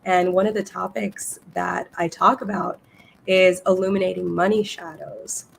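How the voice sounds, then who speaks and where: Hoarse woman podcast host, gravelly voice. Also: on the low side, fairly even intonation, normal speed, a little hiss or hum, poor audio. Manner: enthusiastic, reads guest bio aloud. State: hoarse, losing her voice. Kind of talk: casual interview.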